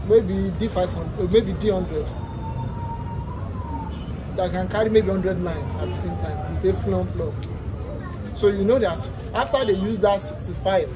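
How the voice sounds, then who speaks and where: A man's voice: high-pitched, unhurried, long pauses. A man in his thirties with a Nigerian accent, giving an informal technical lesson to trainees, explaining step by step, repeating himself and often asking check questions. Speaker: technical instructor. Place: open-fronted shop, semi-outdoors. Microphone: phone on desk at short distance. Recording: busy street noise, music in background.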